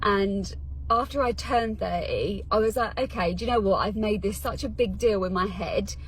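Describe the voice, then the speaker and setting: A woman's voice: mid-range voice, normal speed, faint music behind, low-quality recording. A thirty-year-old woman with a British accent talking casually and confessionally, as in a personal social media vlog.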